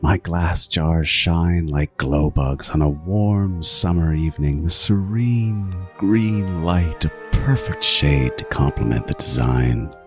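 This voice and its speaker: A man's voice: low-pitched, over music. A man playing a meticulous, gloating serial killer in a horror audio drama, savoring his words in a theatrical monologue. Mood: rapturous, gloating. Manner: theatrical, savoring, deliberate phrasing with sudden swings.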